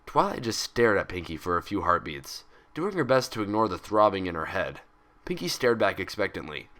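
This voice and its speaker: A man reads fan fiction aloud as narrator. His voice sits fairly low with a bright, forward edge, and his expressive delivery moves animatedly through the scripted prose. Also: a little fast.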